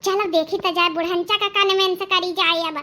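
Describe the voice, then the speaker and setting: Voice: very high voice, steady tone, moderate pace, sharp tone, a little hiss or hum. A performer voicing a sly, coaxing young village daughter-in-law in a comic cartoon family drama, with a rustic Awadhi accent and a wheedling, persuasive manner. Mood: sly, exasperated.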